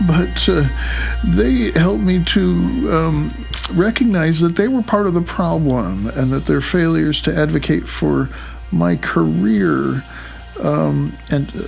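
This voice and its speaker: Gravelly man reading his own book. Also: a little high, darkish tone, rough recording. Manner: loose, halting delivery with fillers and chuckles. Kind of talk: reading an audiobook dedication with asides. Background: faint music.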